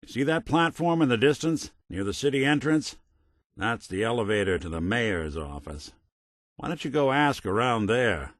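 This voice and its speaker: A man's voice: medium pitch, expressive, moderate pace, a bit bright, no echo, studio quality, natural voice. A man playing a world-weary android private detective in a video game, tough but kind, with wry, clipped hardboiled phrasing. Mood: investigative. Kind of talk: noir detective game dialogue.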